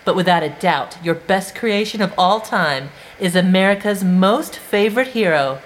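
An actress playing a poised interviewer and announcer in an audio drama, with a measured, polished delivery. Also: female voice, mid-range voice, expressive, slight background noise, lightly acted. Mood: admiring. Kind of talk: scripted media voice in audio drama.

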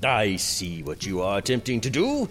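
A man's voice: fairly low pitch, huge pitch swings, normal speed, a bit bright, soft background music. An actor playing a pompous, drunken, self-indulgent prince acting as king in a theatrical, haughty medieval audio drama.

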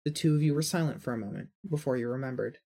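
A husky, breathy narrator reads second-person fiction from a script. The pitch sits in an ordinary middle range. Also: racing, warm tone.

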